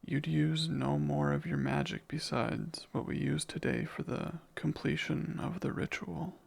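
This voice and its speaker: A man playing a jealous, devoted demon in an intimate ASMR romance roleplay, speaking ardently and deliberately in a breathy, gravelly voice. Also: fairly high pitch, little pitch movement, full character voice. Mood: insistent, coaxing. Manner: slow, deliberate, ardent, possessive. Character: jealous, devoted male demon confessing love.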